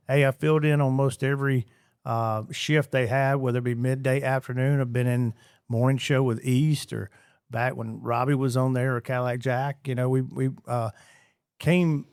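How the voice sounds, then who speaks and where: A man's voice: mid-range voice, darkish tone, no room sound, clean studio sound. A man from Georgia, a radio DJ chatting casually in an interview, rambling and repeating his words. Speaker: radio DJ guest.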